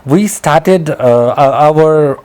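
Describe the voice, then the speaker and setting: A man interviewing a guest, framing his questions haltingly and repeating words as he goes. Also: fairly high pitch, a little mellow, clear, resonant tone.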